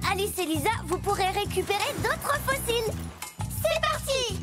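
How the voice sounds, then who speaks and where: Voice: very high-pitched, sing-song, moderate pace. An actor voicing a keen, confident young child mini-truck, a chirpy and playful helper in a children's cartoon, over music. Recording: loud music behind the voice.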